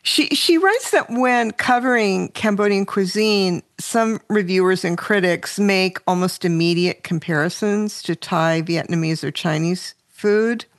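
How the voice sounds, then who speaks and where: A woman hosting an interview who asks her questions in a friendly, measured way. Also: medium pitch, dry recording.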